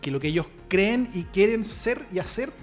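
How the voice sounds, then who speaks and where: A man with a Chilean accent talking casually as an interview guest, halting and repeating words as he goes. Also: high-pitched, lively intonation. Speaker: interview guest, political analyst. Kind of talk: casual conversational self-introduction. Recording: clear music in the background.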